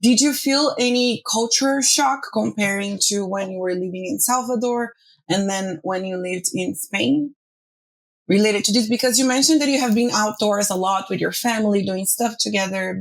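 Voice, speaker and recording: A woman's voice: mid-range voice, fairly even intonation, normal speed, low-quality recording. A woman hosting a podcast interview, asking friendly, long, winding questions.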